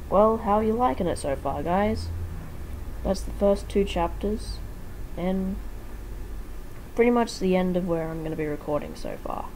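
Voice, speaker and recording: Woman, amateur fan fiction narrator, mellow soft-edged tone, slightly low pitch, casual, faint background noise. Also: poor audio.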